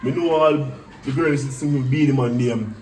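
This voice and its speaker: A man with a Jamaican accent telling stories casually in Patois in an animated way, acting out what people said. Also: mid-range voice, moderate pace. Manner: animated, acts out quoted speech. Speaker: musical artist telling career stories. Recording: distant mic with faint background noise.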